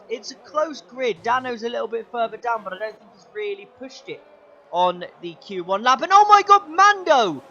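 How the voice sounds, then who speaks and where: A young man commentating his own sim race, his voice going hoarse, excitable and shouting at the big moments. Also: extremely high pitch, slight background noise. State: losing his voice. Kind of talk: gaming commentary.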